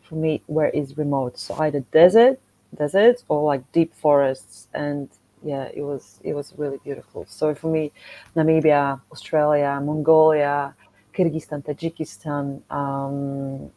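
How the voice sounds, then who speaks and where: A woman's voice: low voice, fairly even intonation, measured pace, mellow, rough recording. A middle-aged woman with a Polish accent, chatting casually and animatedly as she tells stories as a podcast guest. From Poland. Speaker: podcast guest, motorcycle traveller and content creator. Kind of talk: casual conversational storytelling.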